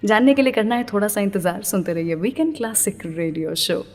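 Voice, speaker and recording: A woman's voice: mid-range voice, animated, brisk. A woman presenting a classic film song radio show, chatting directly to her listeners. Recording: long reverb, music playing behind. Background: music.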